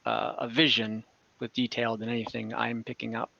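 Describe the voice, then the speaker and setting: A game master improvising tabletop game lore, speaking haltingly and searching for words. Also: medium pitch, flat delivery, vocal fry, bright, forceful tone, dry recording, muffled recording. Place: online group call.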